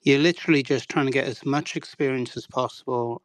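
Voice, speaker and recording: A man's voice: mid-range voice, steady tone, fairly quick, no echo. Man in his sixties from Wales. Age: 60s. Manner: rambling, self-deprecating, many hedging fillers. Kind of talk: relaxed interview storytelling.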